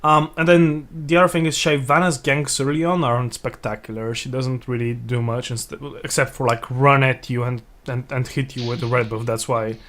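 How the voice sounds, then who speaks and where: A man co-casting a video game match in a relaxed, halting way, repeating words as he thinks and laughing easily. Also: medium pitch. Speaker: esports co-caster. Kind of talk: casual game commentary.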